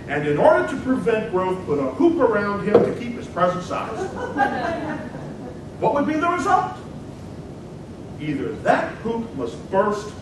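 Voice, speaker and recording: A man playing an impassioned 19th-century American senator, declaiming emphatic political oratory and rhetorical questions in a dramatic reading. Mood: grand, emphatic. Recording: very echoey hall, distant mic, loud rumbling noise.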